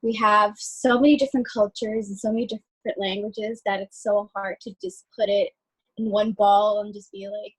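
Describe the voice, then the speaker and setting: A woman's voice: mid-range voice, steady tone, moderate pace, breathy edge, rough recording. A young woman from Ethiopia, chatting as a guest over a video call in a friendly, loose way with many fillers. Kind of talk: casual video call chat.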